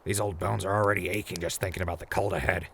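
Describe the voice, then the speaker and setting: A man playing a practical, friendly old wasteland traveler in an audio drama, with a raspy, folksy voice. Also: deep voice, steady tone, fast, noisy background. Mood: easygoing, wry. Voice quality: gravelly, raspy. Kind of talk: post-apocalyptic audio drama acting.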